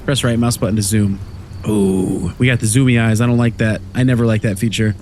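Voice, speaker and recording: A man's voice: fairly low pitch, steady tone, fairly quick, a little mellow, slight background noise. A young man with a stuffy voice from a cold, chatting loosely through a horror game as a gaming host. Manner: rambling, easygoing, chatty. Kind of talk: casual let's play commentary. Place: home room at night.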